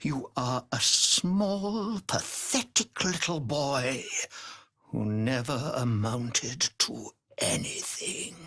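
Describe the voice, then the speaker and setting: An actor playing a cruel, imperious aristocratic vampire master in a video game, speaking with theatrical contempt and menace, savoring every word. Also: male voice, mid-range voice, a bit bright, dry recording, clean studio sound, full character voice. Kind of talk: dramatic video game villain acting.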